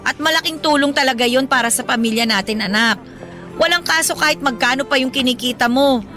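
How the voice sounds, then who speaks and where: Proud hardworking nanay in a radio drama, high bright voice, motherly, bit of music behind. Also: fairly quick. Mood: earnest, affectionate. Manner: animated, motherly, colloquial, playfully indignant. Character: hardworking, proud, affectionate 37-year-old mother driving a pedicab. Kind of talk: Filipino radio drama acting.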